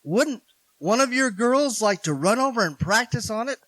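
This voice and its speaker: A stern old grandfather, played by a man, questions a girl with gruff, dry probing. His voice sits high and bright and swings widely. The sound is close and dry. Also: animated, normal speed, dry recording, low-quality recording. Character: gruff elderly rich grandfather, stern but kind. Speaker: radio play actor. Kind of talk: 1940s radio acting.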